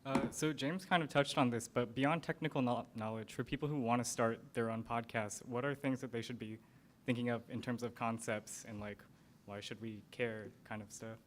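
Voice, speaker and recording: A man's voice: medium pitch, steady tone, moderate pace. A man moderating a panel, putting questions casually in a slightly noisy live recording.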